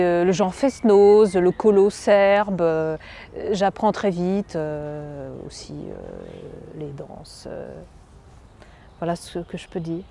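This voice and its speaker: A young woman from France, an actress, speaking in a slightly low, mellow voice. She muses aloud, halting often and circling back over her words. Faint background noise sits under her voice. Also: gravelly. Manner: musing, halting, many fillers and restarts. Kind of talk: self-presentation interview.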